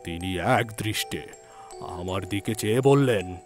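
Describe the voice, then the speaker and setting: A man narrating a scripted story in a deliberate, suspenseful, dramatic style. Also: fairly low pitch, faint music behind.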